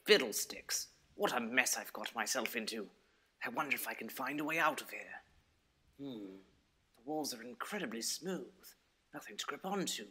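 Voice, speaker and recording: A man acting a bright, excitable bumbling adventurer. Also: mid-range voice, normal speed, husky. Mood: dismayed, wondering. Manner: theatrical, old-fashioned quaint exclamations. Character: bumbling excitable adventurer hero, thinking aloud. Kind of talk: audio adventure story acting.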